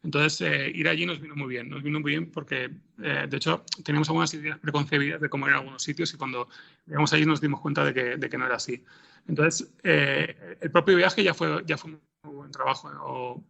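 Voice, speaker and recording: A man with a Spanish accent from Spain and a very creaky, fry-laden voice. He talks off the cuff as an interview guest, bright in tone, circling and repeating himself as he searches for words. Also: fairly high pitch, steady tone, a little fast, highs cut off. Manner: hesitant, rambling, with frequent repeated words and tag questions. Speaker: interview guest, podcast scriptwriter. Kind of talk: unscripted interview answers.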